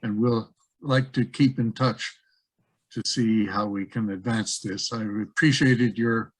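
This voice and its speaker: A man's voice: medium pitch, fairly even intonation, unhurried, husky, no room sound, low-quality recording. A man hosting a webinar, handing over and closing with thanks on a video call, plain and hesitant. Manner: plain, with restarts and fillers. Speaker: organizer and host of the webinar.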